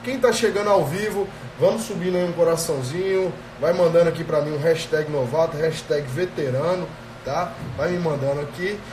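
A man's voice: fairly high pitch, a little fast, roomy sound, recorded from a distance, noisy background. A man in his thirties with a Brazilian Portuguese accent hosts a live stream as a productivity coach. He has a cold and talks straight to viewers, checking in with them often. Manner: energetic, addresses viewers directly, frequent "tá?" checks. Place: small indoor room. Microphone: earphone headset mic on a phone.

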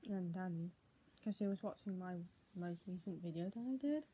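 A young woman vlogger with a husky, muffled voice, speaking at home into a tablet. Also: fairly low pitch, moderate pace, mellow, slight background noise. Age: young adult. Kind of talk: casual home vlog, partly reading aloud. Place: small room at home, indoors. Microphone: tablet built-in mic at arm's length.

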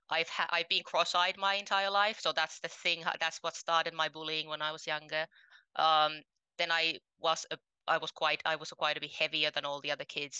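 A young woman from Finland with a low voice that sits in a narrow band. Her tone is bright and very clean, recorded dry and close on a headset. She tells her story earnestly and plainly, with lots of ums. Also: smooth, dry recording. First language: Finnish. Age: 20s. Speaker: podcast guest. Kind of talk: casual interview talk. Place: home room, indoors.

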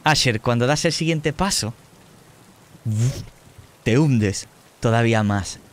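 A man acting as game master, narrating a horror scene in a deliberate, descriptive way with pauses. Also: medium pitch, animated. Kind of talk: improvised tabletop horror narration.

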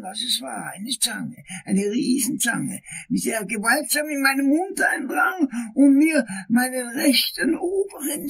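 Man acting husky, melodramatic, anxious lawyer. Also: sits high, a bit bright, heavily acted. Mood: distressed, dramatic. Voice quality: very husky, breathy, creaky. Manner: halting, melodramatic, drawn-out storytelling. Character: anxious, long-winded male lawyer recounting a night attack. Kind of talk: crime radio play acting.

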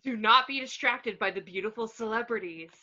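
Sinister flamboyant fashion mogul read by a woman, high and theatrical, comedy table read over lo-fi video call. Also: fairly quick, pushed and bright. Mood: sinister, commanding. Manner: theatrical, sing-song, commanding.

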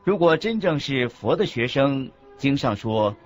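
Man narrating a scripted buddhist lecture, lively intonation, music faintly behind, muffled audio. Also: medium pitch, a little slow. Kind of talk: scripted dramatized religious narration. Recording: dull lo-fi audio with background music.

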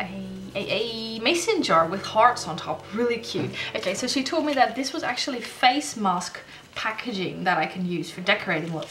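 Gushing young Dutch woman, music behind. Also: on the high side. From Netherlands. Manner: gushing, excitable, restarts often. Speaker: stationery vlogger. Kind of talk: casual unboxing chat. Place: home desk, indoors.

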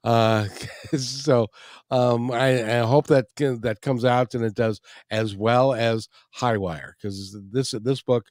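A man's voice: medium pitch, darkish tone, dry recording. Chatty male radio host, dry close mic. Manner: chatty, jokey, repeats phrases. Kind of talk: interview and show outro. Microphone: studio microphone with headphones.

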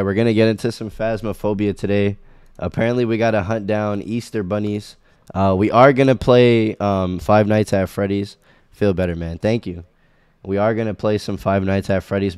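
A young man hosting a casual game livestream while sick, his voice a little scratchy, talking in a laid-back way full of ums as he greets chat members by name. He speaks into a boom mic at home. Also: darkish tone, clean tone, dry recording. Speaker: streamer. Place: small room at home. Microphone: boom mic on arm, headphones.

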